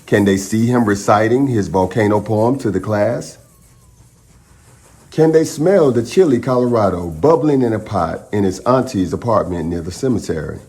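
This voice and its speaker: Low-voiced man reading a picture book expressively. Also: lively intonation, darkish tone. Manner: dramatic, pausing phrasing, speaks to young listeners. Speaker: children's storytime reader and channel host.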